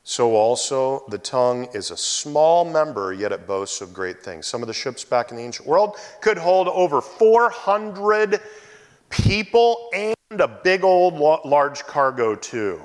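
Animated male preacher in a reverberant hall. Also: a little high, measured pace. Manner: animated storytelling, loud shouted exclamations. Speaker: pastor. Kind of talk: sermon with personal story.

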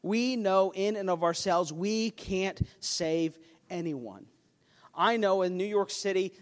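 A man preaching a sermon as pastor, earnest and emphatic, with a self-deprecating touch. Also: high voice. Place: church sanctuary. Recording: long hall reverb.

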